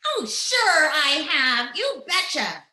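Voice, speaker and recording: An eager, chattering little girl in an old radio comedy. The voice is very high and lively, drawn out at a slow pace. She sounds childlike and hesitant. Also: exaggerated voice. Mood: bubbly. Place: at home on a video call. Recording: roomy home video-call recording.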